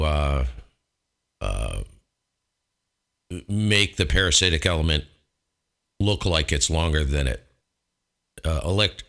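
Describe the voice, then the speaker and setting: A man hosting as a ham radio operator, talking technical matters in an informal, chatty way with pauses to think. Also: rumbling low, fairly bright tone. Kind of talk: casual technical radio chat.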